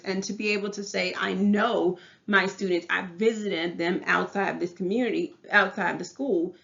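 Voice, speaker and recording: Woman, Southern US accent, thirties, teacher presenting, earnest, gravelly, muffled recording. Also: fairly low pitch. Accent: Southern US English. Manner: earnest, repeats and restarts phrases. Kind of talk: class presentation.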